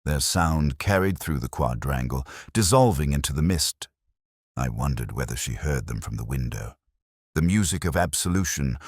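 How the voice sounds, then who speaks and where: A man playing a weary, melancholy middle-aged police inspector, narrating a mystery in somber, reflective, measured literary phrases. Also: a bass voice, dry recording, studio quality, heavily acted. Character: weary, melancholy male English police inspector recounting a case. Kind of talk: scripted first-person literary mystery narration.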